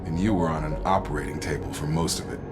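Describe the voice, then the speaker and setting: A man's voice: extremely low pitch, fast talker, over loud music. An actor playing a principled, loyal male soldier in a sci-fi video game, speaking in a frank, earnest, informal way. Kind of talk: cinematic video game acting.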